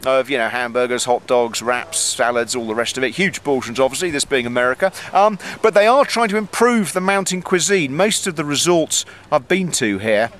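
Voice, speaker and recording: A man's voice: medium pitch, lively intonation, moderate pace, few pauses, fairly bright tone, slight background noise. A middle-aged man giving a casual snow report to a camera held at arm's length, outdoors on a mountain, talking in a chatty way with many ums and dry asides. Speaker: ski reporter.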